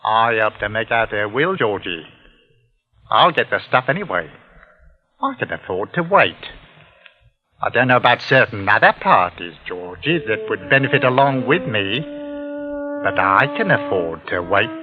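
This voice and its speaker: Oily working-class English schemer, middle-aged, mock-friendly, very animated intonation, vintage radio play, long reverb. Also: male voice, on the low side, a little slow, long pauses. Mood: mock-offended, scheming. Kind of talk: 1940s radio drama acting. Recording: dull old radio recording, reverberant.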